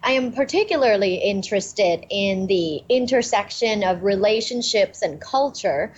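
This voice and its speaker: A woman's voice: medium pitch, animated, measured pace, lo-fi sound. A woman from Thailand, a professor guest, cheerfully explaining ideas in an interview. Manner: cheerful, laughs easily, explains clearly.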